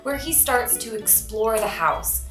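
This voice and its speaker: A woman reading a children's storybook aloud in an expressive storyteller's manner. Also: a little high, a little slow. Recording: roomy echo, music behind.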